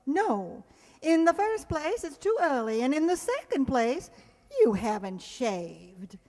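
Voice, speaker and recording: Woman playing a prim, irritable fiancée, high voice, drawn-out pace, exasperated, radio comedy. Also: very animated, a little mellow, exaggerated voice. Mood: firm, indignant. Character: haughty, irritable, unyielding fiancée woken early. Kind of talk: old-time radio comedy acting. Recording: echoey hall with long reverb.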